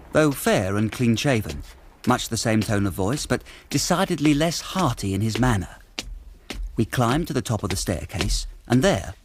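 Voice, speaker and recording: A man acting in a period radio drama, playing an earnest, excitable young Victorian clerk with a British accent and a polite, eager way of telling things. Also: medium pitch, slight background noise, natural voice. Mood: polite, hesitant.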